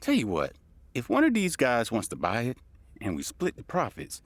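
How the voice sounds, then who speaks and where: An actor playing a casual, chatty, opportunistic delivery driver. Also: male voice, medium pitch, huge pitch swings, moderate pace, dry recording.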